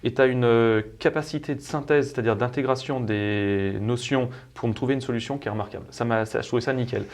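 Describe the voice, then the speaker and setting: A man's voice: mid-range voice, fairly quick, few pauses. A man hosting an interview show, talking in a chatty, familiar way with many fillers. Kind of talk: casual interview talk.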